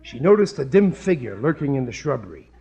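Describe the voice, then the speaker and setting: A man's voice: medium pitch, big pitch swings, a little fast, vocal fry, very noisy. A man playing a blustery, suspicious working-class father in a 1950s radio comedy, talking in a colloquial, earnestly dim-witted way. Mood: earnest reading aloud.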